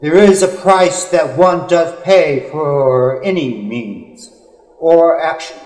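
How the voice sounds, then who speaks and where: A man reading poetry in a solemn, dramatic style, with earnest, measured phrasing and drawn-out pauses. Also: on the high side, darkish tone. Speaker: poet. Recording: echoey, long hall-like reverb.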